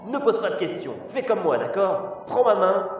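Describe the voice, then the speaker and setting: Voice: mid-range voice, big pitch swings, moderate pace, lightly acted. An actor playing a pushy, impatient father coaxing a child, commanding and insistent, in an audio drama flashback. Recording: cavernous reverb, distant, muffled, noisy.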